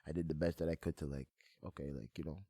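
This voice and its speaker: Young male rapper guest, very low voice, dry close sound, loose slangy interview talk. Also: fairly even intonation, quick pace, warm tone, breathy edge, dry recording. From France, moved to Montreal, Canada at eight. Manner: slangy, often checks in with "you feel me". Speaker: guest, hip hop artist. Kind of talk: casual chat, interview answers.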